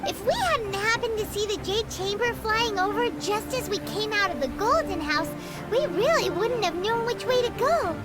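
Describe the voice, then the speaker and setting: A woman voicing a tiny, excitable, nervous childlike girl companion in a video game, with a squeaky voice. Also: moderate pace. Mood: worn out, worried. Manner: refers to self in third person, exclamatory. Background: loud game music.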